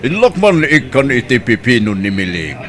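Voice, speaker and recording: A man's voice: medium pitch, very animated, normal speed, a crowd in the background, close to an everyday voice. A man playing a jovial, teasing older village man in a comedy drama, with an Ilocano accent.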